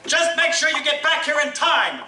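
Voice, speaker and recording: A man's voice: very high voice, big pitch swings, moderate pace, bright, forceful tone, exaggerated voice. An actor playing a brusque, blustering male newspaper editor who barks orders at his reporter, recorded live on stage. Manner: brusque, barking, laughs at chaos. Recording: roomy live stage from a distance.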